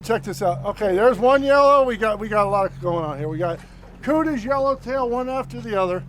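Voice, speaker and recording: A man acting as a fishing guide on a boat, chatty and energetic, explaining tips step by step. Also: high-pitched, a little fast, faint music behind. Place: on a boat offshore, outdoors.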